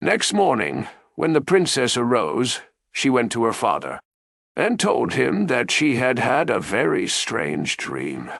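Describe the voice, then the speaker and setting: A man reads a fairy tale for bedtime in a mid-pitched voice that moves up and down a great deal. His delivery is slow and deliberate, with plenty of gravelly creak. The sound is dry and close. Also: huge pitch swings, fairly bright tone, dry recording. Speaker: storyteller.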